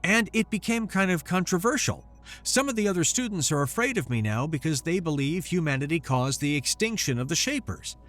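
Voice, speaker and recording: A man voicing a sheepish young student pleading with his grandfather in a quick, flustered way. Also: a little high, lively intonation, a bit bright, soft background music, voice pushed up.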